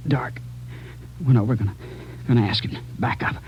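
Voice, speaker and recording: Radio drama role: dying cop played by a man, creaky, weak, halting, pained; muffled vintage recording. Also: mid-range voice, steady tone, whispered, close to an everyday voice. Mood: weak, confused. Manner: broken, halting fragments, repeating himself. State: in pain, gasping, weak. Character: dying, gravely wounded male traffic policeman, dazed, pleading. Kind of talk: 1940s-50s radio drama acting.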